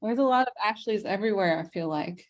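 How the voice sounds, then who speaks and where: An American woman on a casual video call, friendly and quick to laugh, giving short answers. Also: medium pitch, lively intonation, dull audio. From United States. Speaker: guest on a coaching call.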